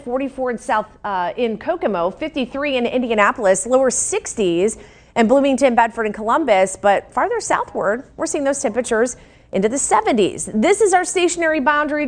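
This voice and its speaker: A woman with an American accent presenting a live TV weather forecast and news in a broadcast style. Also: a little high.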